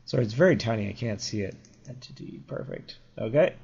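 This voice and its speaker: A young man with a sore, slightly crackly throat talks through code in a low voice. He rambles casually, stops to correct himself and drops in plenty of fillers. The dull, lo-fi mic takes the edge off every word. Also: animated, brisk, creaky, a little mellow, husky. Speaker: programming tutorial host. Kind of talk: casual screen-recorded coding tutorial.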